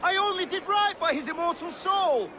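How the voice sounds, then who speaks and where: Pious, defensive Saxon for a Viking game: very high, agitated, pleading, over clear background noise. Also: male voice, normal speed, sharp tone, some chatter behind. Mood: panicked, defensive. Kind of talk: video game cutscene acting.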